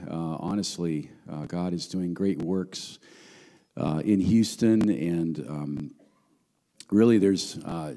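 Deep dark-voiced pastor, bit hoarse, handheld mic, long echo in the church hall. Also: male voice. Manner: warm, earnest greetings and prayer with frequent fillers. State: voice going hoarse. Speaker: visiting pastor. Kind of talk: sermon. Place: large church hall, on stage. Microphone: handheld microphone.

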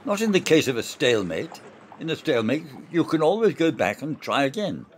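A man playing a stubborn, gloating older officer in an amateur radio play, savoring his words with emphatic, drawn-out descriptions. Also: fairly high pitch, sing-song, breathy edge. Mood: insistent. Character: stubborn, gloating older male soldier-officer relishing imagined food.

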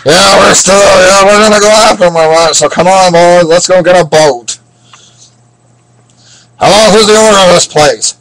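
A man's voice: sits high, normal speed, a bit bright, poor audio. A man voicing a scheming male villain who gloats and chuckles as he plots. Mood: gloating.

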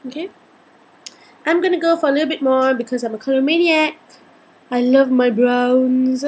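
Casting: young Singaporean woman, slightly high husky voice, chatty, playful beauty vlogger in casual tutorial mode. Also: moderate pace, darkish tone. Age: 20s. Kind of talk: casual makeup tutorial chat. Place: indoors, small home room.

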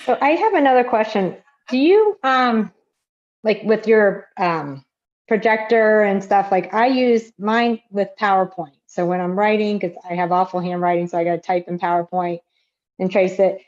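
A woman's voice: mid-range voice, normal speed, a little mellow. A woman taking part in an online workshop, chatting casually and self-deprecatingly as she asks questions over a video call. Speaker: workshop participant. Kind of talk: casual chat, asking questions. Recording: dull, lo-fi video call audio.

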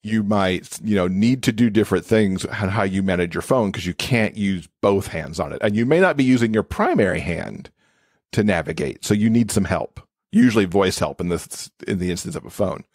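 A man's voice: lowish voice, lively intonation, moderate pace, no echo, studio quality. A man speaking as an expert podcast guest, explaining things in a conversational interview style.

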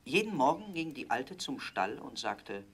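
A man narrating a scripted fairy tale for children in a measured, dramatic storytelling manner. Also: medium pitch, big pitch swings, a bit bright, breathy edge.

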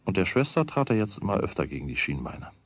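A man narrating a children's radio play as a wry, deliberate storyteller with a husky voice. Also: sits low, sing-song, no echo. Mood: wry, measured. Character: wry storyteller of a boy's everyday troubles.

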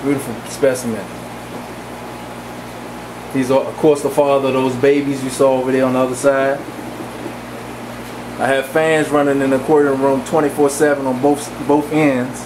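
Male, casual rambling home-video narrator, mid-pitched, steady fan hum behind him. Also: normal speed, long pauses. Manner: relaxed, rambling, with many fillers. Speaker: fish breeder giving a tour. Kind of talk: casual walk-through narration. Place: home aquarium room with running fans. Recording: camcorder audio with fan hum.

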